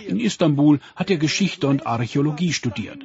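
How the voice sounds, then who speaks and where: A man reading a scripted radio documentary narration in a measured, even way. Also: on the high side, creaky. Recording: dull lo-fi radio sound.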